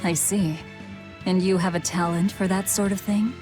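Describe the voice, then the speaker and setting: A woman's voice: mid-range voice, little pitch movement, moderate pace, music in the background, natural voice. A woman playing a cold, pragmatic, guarded young female fighter in dubbed game dialogue, terse and detached. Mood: reserved, curious.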